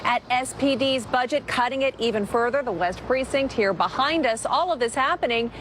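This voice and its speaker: A woman reporter talks live from outside on a city street, conversational but on-air. Her voice sits a bit high. Traffic and street noise run clearly behind her. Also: moderate pace. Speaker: field reporter. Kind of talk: live outdoor news report.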